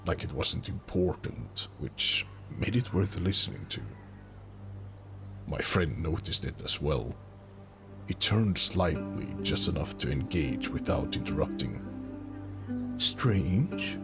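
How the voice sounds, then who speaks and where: A man narrating a fantasy audio drama in a slow, deliberate, brooding way. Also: very deep voice, creaky, fairly bright tone. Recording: music under the narration. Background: music.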